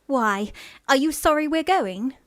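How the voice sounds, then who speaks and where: A coy young lady played by a woman in a Victorian radio farce. Her voice is high and lilting with lots of movement, demure, in a dry recording. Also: animated, a little slow, dry recording. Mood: coy, plaintive. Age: young adult. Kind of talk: radio play farce acting.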